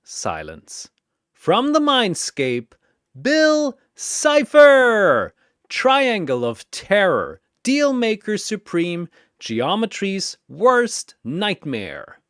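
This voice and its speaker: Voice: mid-range voice, huge pitch swings, very slow, fairly bright tone, smooth, no room sound, studio quality. A narrator delivering dramatic scripted battle storytelling in an emphatic, portentous, announcer-like manner.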